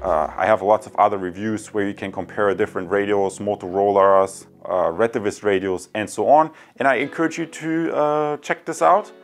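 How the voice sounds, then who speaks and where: A man in his thirties reviewing a product to camera from a room at home, friendly and chatty, often saying "guys" and filling pauses with "uh". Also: on the low side, steady tone, faint music behind. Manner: friendly, addresses viewers as guys, frequent uh fillers. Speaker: tech reviewer.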